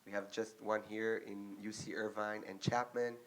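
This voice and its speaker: A young adult man from Israel with an Israeli accent, giving an earnest speech to an audience as an agency representative, with frequent ums and pauses. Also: on the low side, level tone.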